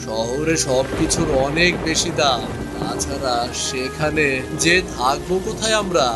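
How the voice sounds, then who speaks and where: A man's voice: fairly high pitch, animated, unhurried, loud music behind the voice. A man voicing a poor, humble village father who sounds anxious and plaintive in a cartoon story. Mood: troubled. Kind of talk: Bengali cartoon story voice acting.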